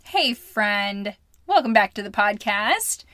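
A woman hosting a solo podcast as a life coach, upbeat and chatty, her voice slightly hoarse. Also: mid-range voice, very animated, slow, a bit bright, no echo, clean studio sound, faint music behind.

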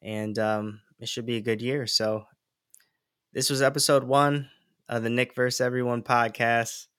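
A young man hosting his own podcast, talking alone in a relaxed, rambling way with lots of ums and likes. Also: medium pitch, moderate pace, clear, resonant tone, no echo, clean studio sound. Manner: relaxed, rambling, many fillers. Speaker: podcast host. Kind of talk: casual solo podcast monologue.

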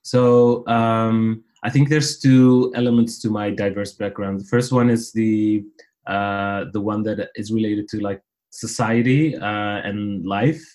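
A man in his thirties who grew up in the Netherlands, speaking as a podcast guest over a remote call, with many "uh" fillers and a steady, thoughtful manner. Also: fairly low pitch, unhurried. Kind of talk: casual interview chat.

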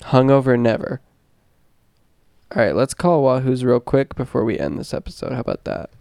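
A young man with a stuffed-up, nasal voice, co-hosting a podcast in loose slangy chatter. His voice sits at an ordinary middle pitch and comes across dry and close, with no room sound. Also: normal speed, gravelly, a little mellow, no room sound. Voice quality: congested, nasal. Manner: slangy and rambling, heavy on filler words. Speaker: podcast co-host. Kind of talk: casual podcast banter.

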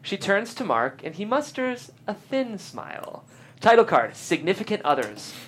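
A woman's voice: mid-range voice, sing-song, moderate pace, a bit bright, slightly rough. A woman hosting a podcast and reading scripted stage directions as narrator. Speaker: host and stage-direction reader.

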